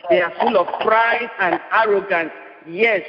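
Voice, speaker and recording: Guy with a high voice, fiery repetitive preaching, kinda dull echoey recording. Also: measured pace. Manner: impassioned, repetitive declamation. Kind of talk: prophetic sermon. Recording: muffled, reverberant hall.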